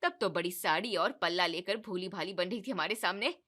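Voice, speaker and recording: A strict middle-aged mother-in-law from a cartoon story, loud and nagging, her voice set a little lower than the narrator's own, as a woman acts her out. Her tone is bright and forceful. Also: a little fast. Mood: exasperated.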